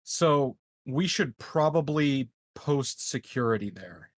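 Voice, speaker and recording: A man with a medium-pitched voice talking as a player at a tabletop game. He is informal and slightly halting as he pitches in, recorded dry and close. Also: expressive, no echo. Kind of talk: casual game discussion.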